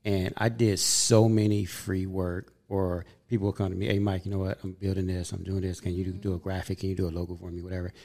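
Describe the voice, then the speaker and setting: A man in his fifties with a low, gravelly voice hosts a podcast. He talks in a casual, earnest and upbeat way, telling stories about his life with steady conviction. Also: quick pace, hardly any pauses. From Southern California. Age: 50s. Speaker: podcast host.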